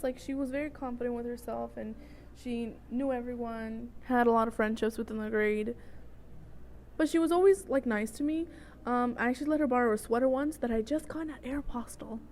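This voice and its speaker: A woman's voice: fairly high pitch, normal speed, a little mellow. A woman in her twenties telling a personal story in a casual, chatty way, wandering into tangents and often checking in with the listener. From El Salvador. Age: 20s. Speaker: vlogger telling personal stories. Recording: noticeable noise, homemade vlog audio.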